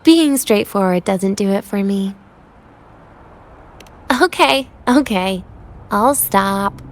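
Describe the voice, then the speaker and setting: A woman playing a composed young student in a video game, with dry humor and a gently teasing manner. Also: mid-range voice, expressive, slow pace.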